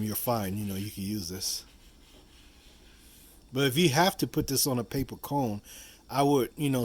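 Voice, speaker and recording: A man's voice: mid-range voice, slight background noise. A man giving a casual hands-on how-to demonstration, rambling and repeating himself with frequent asides. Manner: rambling, repetitive, with frequent "you know" asides. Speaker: video host demonstrating a product.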